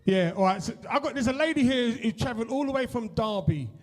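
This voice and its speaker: A man's voice: very high-pitched, animated, fast talker. A middle-aged man with an East London accent doing a stand-up set and chatting with the crowd, swearing freely and leaning on tags like "innit" and "right". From East London, England. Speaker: stand-up comedian. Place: comedy club with a live audience.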